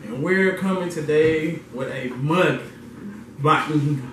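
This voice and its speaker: Young guy, laid-back + slow, far from the mic in a roomy echoey space. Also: a little high, fairly bright tone, a little hiss or hum. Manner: laid-back, brief replies. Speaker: co-host. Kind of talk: casual vlog chat. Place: home kitchen and dining room indoors.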